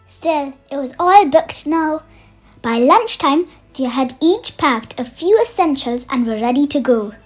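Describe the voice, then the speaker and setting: A storyteller reading a children's bedtime picture book aloud in an expressive way, made for young listeners. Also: high voice, measured pace. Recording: music under the voice. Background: music.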